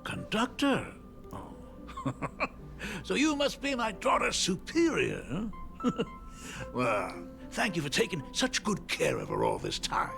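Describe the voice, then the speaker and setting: An eager, awkward middle-aged dad in a game, with swooping intonation and a gravelly creak. He is effusive and apologetic over clear background music. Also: sits high, wildly swooping pitch, slow pace, exaggerated voice. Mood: relieved, effusive. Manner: hesitant, effusive. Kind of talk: video game voice acting. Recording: music behind voice.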